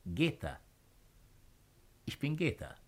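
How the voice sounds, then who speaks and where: An actor playing an insistent, courtly former Roman governor in a 1950s radio play, declamatory and emphatic. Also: male voice, mid-range voice, huge pitch swings, slow pace, darkish tone, husky, heavily acted. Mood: insistent, rousing.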